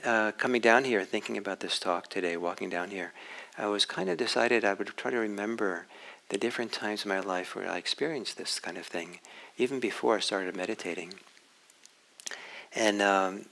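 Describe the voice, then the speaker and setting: A man from Norway gives a dharma talk as a meditation teacher. His voice sits low yet carries a bright, forward edge. He speaks in an unhurried, reflective way and often pauses to restart his thoughts. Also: creaky, poor audio.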